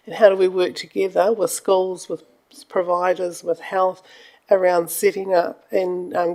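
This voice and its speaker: An earnest New woman speaks to a council committee on behalf of a health provider. Her delivery is flat and gravelly, and she halts and restarts her sentences often. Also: on the low side. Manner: earnest, halting, with many restarts. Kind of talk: answering questions at a meeting.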